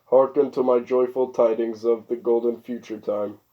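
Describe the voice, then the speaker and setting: A hoarse old boar, voiced by a man, declaims like a solemn storybook elder rousing his comrades. His pitch is medium, and the sound is dull and lo-fi. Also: little pitch movement, normal speed, natural voice. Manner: solemn, declaiming song verses. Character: old male boar, rousing revolutionary elder of farm animals.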